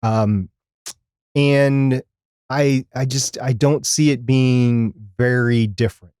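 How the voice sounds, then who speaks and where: A middle-aged man talks as a podcast co-host in an unscripted live chat. His pitch is average for a man, and his explanations wander conversationally. The sound is close and dry, with very little room. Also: steady tone, slow, darkish tone, clean tone, no room sound.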